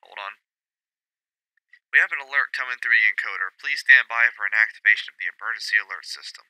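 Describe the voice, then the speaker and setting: A man's voice: on the low side, fast, bright, forceful tone, clean tone, no room sound, poor audio. A man playing a grave news anchor reading a scripted bulletin about a growing disaster. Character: male news anchor gravely reporting volcanic threat. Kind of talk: scripted newscast acting.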